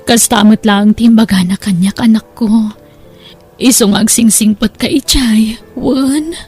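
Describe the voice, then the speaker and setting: A woman playing a sickly, loving poor mother in an audio drama, with an Ilocano accent and a weak, breathy, hesitant delivery. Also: fairly high pitch, measured pace, darkish tone, soft background music. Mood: tender, worried.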